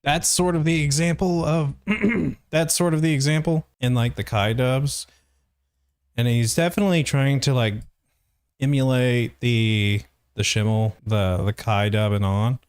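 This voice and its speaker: A man's voice: medium pitch, measured pace, clean tone, dry recording, studio quality. A young man who voice acts, giving casual, analytical reaction commentary in a hesitant, searching way. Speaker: voice actor reacting and reviewing.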